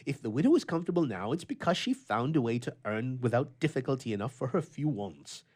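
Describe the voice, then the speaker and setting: Man playing a wildly animated old-fashioned gentleman. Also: medium pitch, fairly quick. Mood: emphatic, defensive. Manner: theatrical, exclamatory, old-fashioned phrasing. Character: hearty reformed old bachelor gentleman recounting his holiday. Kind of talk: dramatic reading for radio theatre.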